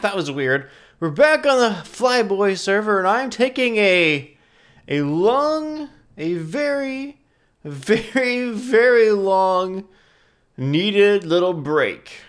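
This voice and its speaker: Congested young man with a lively voice. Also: sits high, clear, resonant tone. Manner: rambling, self-correcting, giggly. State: congested, nasal from a stuffy nose. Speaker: gaming YouTuber. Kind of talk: casual gameplay commentary.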